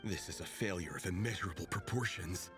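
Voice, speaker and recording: An actor playing a zealous, grandiose young fan club member in a video game, declaiming pompously. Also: male voice, sits low, brisk, over music, close to an everyday voice. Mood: dramatic dismay.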